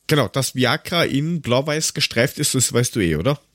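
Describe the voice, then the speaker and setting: A man with a husky, rough-edged voice chatting casually and jokily as a podcast host, full of colloquial exclamations. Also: mid-range voice, lively intonation, normal speed, fairly bright tone, dry recording, studio quality. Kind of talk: casual banter, tasting snacks and drinks.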